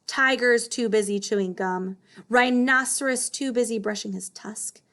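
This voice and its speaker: A woman's voice: fairly high pitch, moderate pace, a bit bright. A woman voicing a grumbling, suspicious male wild boar from a children's storybook, muttering to himself in clipped phrases.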